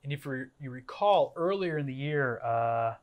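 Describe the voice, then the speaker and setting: A young man co-hosting a cooking show in a kitchen, bantering in a relaxed, easygoing way. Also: mid-range voice, moderate pace, highs cut off. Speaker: co-host. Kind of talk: casual chat while cooking. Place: indoor kitchen set.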